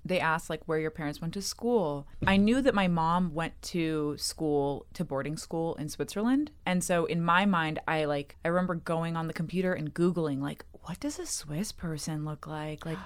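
A woman's voice: low-pitched, normal speed, hardly any pauses, clear, resonant tone. A young woman co-hosting a casual podcast chat, telling a childhood story, acting out the quotes and laughing through her lines. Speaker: podcast co-host.

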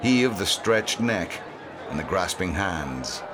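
Man playing a sly low-voiced hangman peddler. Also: very animated, slow pace, crowd noise, noisy background, full character voice. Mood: sly, wheedling. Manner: theatrical salesman's patter. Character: sly, smooth-talking hangman peddling grisly magic talisman.

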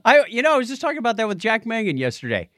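A man's voice: very high voice, wildly swooping pitch, very fast, a bit bright, no room sound, studio quality. A man co-hosting a podcast in casual, comedic banter, with a joking, playful manner. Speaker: podcast co-host.

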